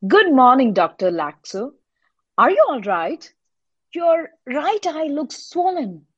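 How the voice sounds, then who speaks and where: A woman playing a composed, scheming doctor in an audio drama, with deliberate, theatrical delivery. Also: high voice, sing-song, no echo, muffled recording, heavily acted. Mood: composed, calculating. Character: composed, scheming female doctor with big plans. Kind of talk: amateur audio drama dialogue.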